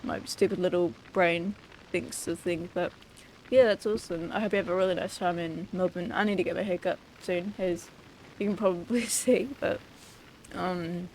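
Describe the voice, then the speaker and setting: A woman's voice: lowish voice, steady tone, normal speed, a little mellow, a little hiss or hum, rough recording. A woman livestreamer chatting casually while gaming, rambling and hesitant, with muttered asides. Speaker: game livestreamer.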